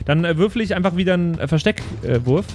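Higher-pitched man playing a tabletop game. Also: animated, fast, darkish tone, faint music behind. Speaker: player in a pen and paper audio play. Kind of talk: casual chat, improvised role-play.

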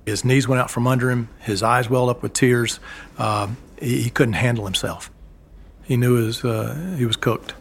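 A man, a former embassy security agent, recounting events in a measured, storytelling way with occasional fillers. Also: mid-range voice, creaky. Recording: music bed underneath.

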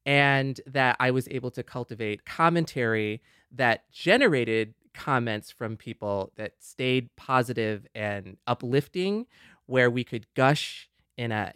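A man's voice: medium pitch, sharp tone, smooth, no room sound, studio quality. A man co-hosting a podcast in an earnest, wordy, conversational way. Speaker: podcast co-host, former blogger.

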